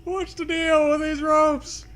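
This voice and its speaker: A man's voice: very high-pitched, flat delivery, slow pace, fairly bright tone, pitched above the performer's own voice, full character voice. A man voicing a scared boy putting on bravado, plaintive and overdramatic, in a comic horror storybook. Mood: alarmed.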